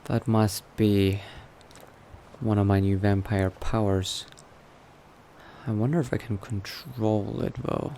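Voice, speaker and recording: A man playing a newly turned vampire in a game, thinking aloud in character in a musing, unhurried manner with trailing phrases. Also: on the low side, fairly even intonation, gravelly, warm tone. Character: newly turned vampire exploring new powers, musing adventurer. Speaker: roleplaying game player. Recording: noticeable background noise.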